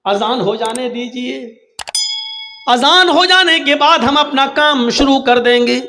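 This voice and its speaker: Guy preaching islamic sermon, really high sharp voice, dramatic storytelling, declaims everything. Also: unhurried. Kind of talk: impassioned religious sermon told as a cautionary story.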